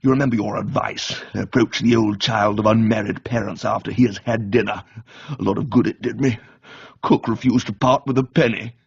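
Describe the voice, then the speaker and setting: Guy doing a hearty blustering english chap, gravelly and husky, emphatic, radio comedy story. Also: fairly low pitch, steady tone, moderate pace, no echo, lo-fi sound. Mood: grumbling.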